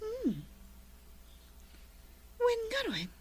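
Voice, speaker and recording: An actress playing a curious, decisive young woman in a radio drama, speaking slowly and drawing out her questions. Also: extremely high pitch, huge pitch swings, dry recording, heavily acted.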